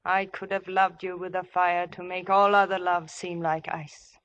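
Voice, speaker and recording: A woman's voice: fairly low pitch, level tone, normal speed, a bit bright, dull audio, natural voice. A woman playing a spurned, vengeful temptress of biblical times in a 1950s radio drama, bitter, imperious and theatrical. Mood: bitter, scornful.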